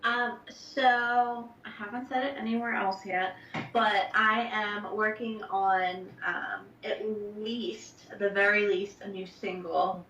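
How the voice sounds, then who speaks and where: A woman's voice: mid-range voice, steady tone, slow, sharp tone. A young woman from New Jersey talking as a musician guest, casual and upbeat with lots of fillers. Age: young adult. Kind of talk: remote interview. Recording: echoey distant lo-fi call audio.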